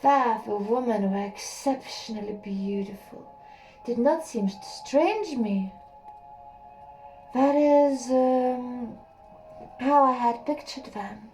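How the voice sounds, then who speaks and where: A woman reading a first-person story aloud as an audiobook, soft and unhurried, sometimes sinking to a near whisper. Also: a little high, faint music behind, low-quality recording. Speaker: audiobook reader.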